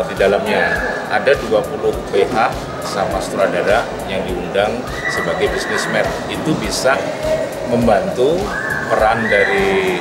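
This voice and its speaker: A man in his forties answering interview questions at an expo booth, halting and full of fillers. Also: fairly low pitch, recorded from a distance, rough recording. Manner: hesitant, many fillers and restarts. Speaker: film company representative. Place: busy indoor expo hall, at a booth. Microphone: handheld reporter mics held close.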